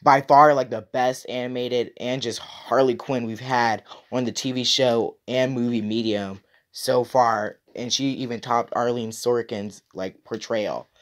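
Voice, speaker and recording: A man's voice: medium pitch, fairly even intonation, measured pace. Man giving a casual, opinionated review. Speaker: YouTube reviewer, host. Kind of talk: casual review talk to viewers.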